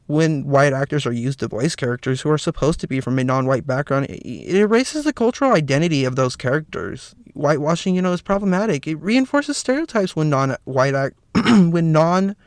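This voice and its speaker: A slightly hoarse man with a raspy voice hosts a solo podcast, talking earnestly with lots of "you know" fillers. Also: on the high side, dry recording. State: slightly hoarse, clearing throat. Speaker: podcast host. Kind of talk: solo podcast commentary, partly read from notes.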